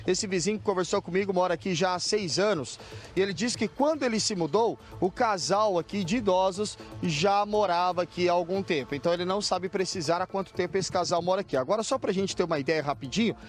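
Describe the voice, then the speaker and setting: A man's voice: high voice, fast, soft background music. A Brazilian man reporting live for TV news from a city street, describing the scene as he points things out. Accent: Brazilian Portuguese. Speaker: field reporter.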